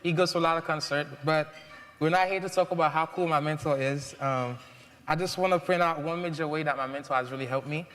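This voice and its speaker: A man's voice: fairly high pitch, steady tone, brisk, fairly bright tone. A young man from Haiti speaking earnestly on a panel, with many ums and uhs. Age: young adult. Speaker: mentee. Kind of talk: prepared speech on a panel. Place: large event hall. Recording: long hall reverb.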